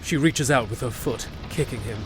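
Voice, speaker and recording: A man's voice: medium pitch, moderate pace. A man narrating a scripted fantasy audio drama as a storyteller. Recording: music behind.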